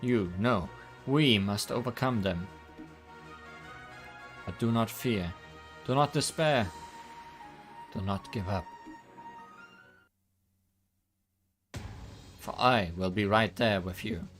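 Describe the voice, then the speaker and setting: A man's voice: on the low side, slow, pauses often, fairly bright tone, soft background music. A man voicing a commanding woman sage who gives a solemn, oratorical speech to rally her followers, in a fan-dubbed animation. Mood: rousing.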